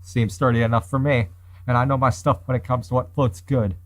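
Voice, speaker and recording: A man's voice: mid-range voice, flat delivery, a little fast, natural voice. A man reading the part of a brash, threatening young female boat thief in a visual novel. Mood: smug. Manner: cocky, menacing.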